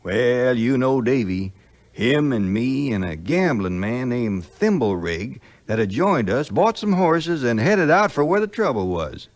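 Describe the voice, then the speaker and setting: An actor playing a loyal, homespun frontier sidekick with a Southern American accent, telling the tale in a rustic way for a 1950s audio play. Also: male voice, mid-range voice, sing-song, a little slow. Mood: folksy, reflective. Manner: rustic, colloquial storytelling.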